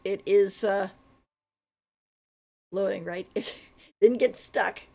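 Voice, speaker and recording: A woman's voice: medium pitch, takes it slowly, gravelly, warm tone. A woman giving casual live commentary as she plays a game, giggly and thinking aloud. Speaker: game streamer. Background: game music.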